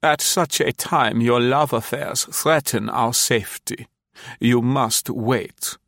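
A man voicing an elderly woman companion who speaks in a slow, reproving way. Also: medium pitch, creaky, fairly bright tone, no room sound, studio quality, pitched above the performer's own voice. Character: fussy elderly female companion, disapproving.

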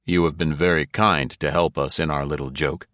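A man as a low, quiet, tough American agent. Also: fairly even intonation, normal speed, dry recording, clean studio sound. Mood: cool. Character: tough, cool-headed male American agent.

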